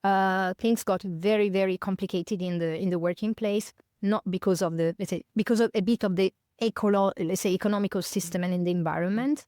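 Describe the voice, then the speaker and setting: A woman in her fifties from northern Italy with an Italian accent, a podcast guest speaking in English as a second language, thoughtful and pausing with many fillers and restarts. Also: mid-range voice, steady tone, warm tone, no echo, studio quality. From northern Italy, near Milan. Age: 50s. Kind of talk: reflective conversational interview.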